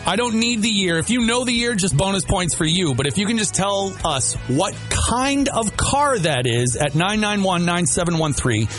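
A man's voice: high voice, expressive, normal speed, few pauses, fairly bright tone, soft background music. Playful American man hosting morning radio. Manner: playful, folksy, repeats himself for emphasis. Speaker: morning radio show host. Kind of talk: casual, bantering radio chat. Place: radio studio.

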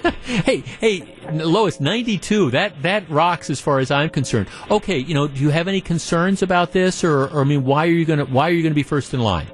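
A man's voice: fairly high pitch, brisk. A man hosting a call-in radio talk show, talking conversationally with frequent restarts and fillers and reading listener emails aloud.

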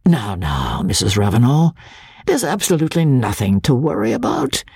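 A woman voicing a smooth, patronizing young hotel clerk who flatters and soothes. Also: rumbling low, moderate pace, no echo, clean studio sound, heavily acted. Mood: soothing.

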